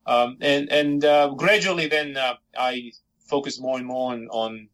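A middle-aged historian from Georgia who grew up speaking Georgian, answering interview questions thoughtfully with many fillers and restarts. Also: male voice, mid-range voice, fairly even intonation, a little slow, a bit bright. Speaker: historian and author.

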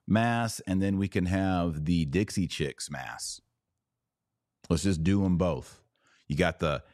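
Deep-voiced man hosting a podcast. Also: a little mellow, dry recording, studio quality. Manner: sarcastic, riffing on comments; preachy when he turns serious. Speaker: podcast host. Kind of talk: live podcast reading viewer comments.

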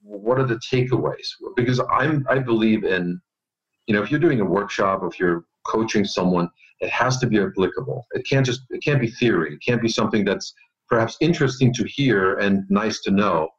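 A man from Germany with a fairly low voice speaks as a coaching expert in an interview. He is conversational and emphatic, often restating a phrase to stress it. The recording is dull and somewhat band-limited. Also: animated, brisk. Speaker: executive coach, interview guest.

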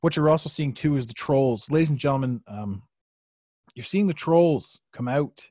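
A man's voice: medium pitch, moderate pace, mellow, slightly rough, no echo. Rambling male podcast host, dry sound. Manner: rambling, repeats himself, many fillers. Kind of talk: casual live podcast chat. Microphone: podcast mic on a live stream.

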